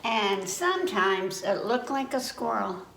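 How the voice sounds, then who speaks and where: A woman hosting a children's story time, reading picture books aloud in an animated way with chatty asides and questions to her listeners. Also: medium pitch, a little slow, vocal fry, distant mic. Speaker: children's library storytime host. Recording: echoey room, faint background noise.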